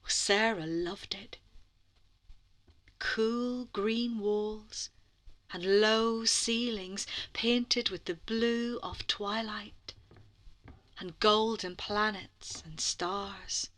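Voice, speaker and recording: A woman storyteller narrating a children's bedtime story in an expressive way, with lingering dramatic phrasing and soft passages. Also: medium pitch, very slow.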